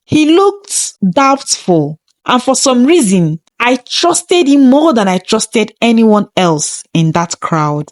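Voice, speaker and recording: A woman's voice: fairly high pitch, very animated, slow, few pauses, no room sound. A woman reading a scripted first-person story in a dramatic, suspenseful manner.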